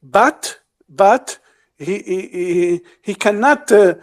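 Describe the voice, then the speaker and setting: Man from Lebanon, high voice, halting, lots of fillers; guest analyst in a casual discussion. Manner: halting, searching for words, many fillers.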